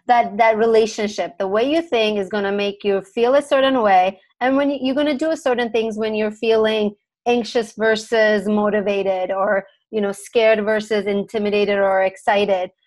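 A woman's voice: medium pitch, steady tone, normal speed, hardly any pauses, a little mellow. A middle-aged woman from Turkey speaking conversationally as an expert podcast guest, explaining things.

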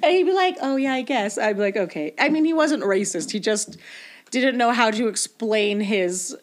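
A young woman from Canada talks in a relaxed, rambling way, her voice sitting a little high. She laughs easily and slips into quick impressions when retelling stories. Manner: laughs often, mimics people in quoted asides. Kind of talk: casual chat.

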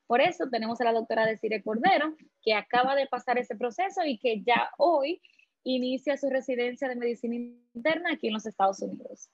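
A woman host greets the audience with energy, then introduces her guest and asks questions in formal terms. Her voice is quite high. The sound is dull and lo-fi, with a dry, close feel. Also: expressive, a little fast, no room sound. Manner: warm, upbeat greeting, formal address. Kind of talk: show introduction and interview questions.

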